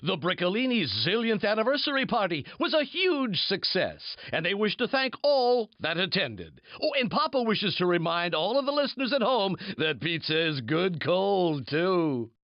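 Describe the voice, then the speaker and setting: A high-voiced cartoon news announcer delivers mock bulletins theatrically. His pitch leaps about in a lively way. The recording is dry and slightly muffled game audio. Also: normal speed, few pauses, no room sound. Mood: upbeat announcing. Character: animated cartoon male radio news announcer.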